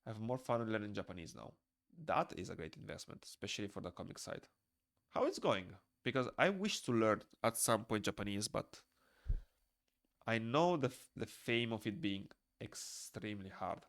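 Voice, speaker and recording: A young man with a Moldovan accent chats loosely with his stream viewers while he draws. His voice sits on the low side with a lot of gravelly fry. It is recorded dry and close in a small room. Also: animated, moderate pace, a bit bright, no room sound. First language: Romanian. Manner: rambling, reads chat aloud and answers it. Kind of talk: casual chat while drawing on stream.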